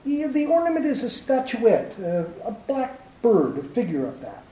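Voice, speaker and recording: A woman playing a fussy, overly polite male gentleman crook in a radio play, talking in a prim, formal, hesitant way. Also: on the high side, sing-song, moderate pace, roomy sound, far from the mic, rough recording. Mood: ingratiating. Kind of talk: amateur 1940s-style radio drama acting.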